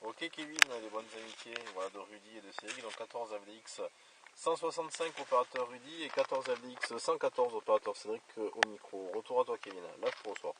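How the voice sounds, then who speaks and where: A man's voice: mid-range voice, brisk. Man on distant, noisy two-way radio. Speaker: amateur radio operator. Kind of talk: casual radio contact. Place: outdoors by a roadside.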